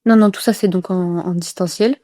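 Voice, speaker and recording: A woman's voice: lowish voice, fairly even intonation, brisk, warm tone, no echo. A young woman tells her story casually as a podcast guest, hesitantly and repeating words for emphasis. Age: young adult.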